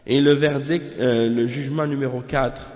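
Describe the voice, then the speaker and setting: A man with a cold, teaching a religious commentary and explaining it in halting, restarting French. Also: medium pitch, steady tone, darkish tone. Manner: halting, many restarts and fillers. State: has a cold. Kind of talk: reading Arabic text, then explaining in French. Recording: muffled roomy lo-fi recording.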